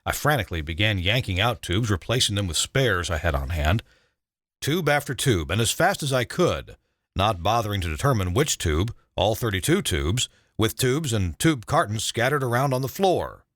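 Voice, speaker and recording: An older man, a retired broadcast engineer, narrating a scripted memoir in a measured, dramatic way with emphatic stresses. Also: lowish voice, very animated, fairly bright tone, dry recording, studio quality.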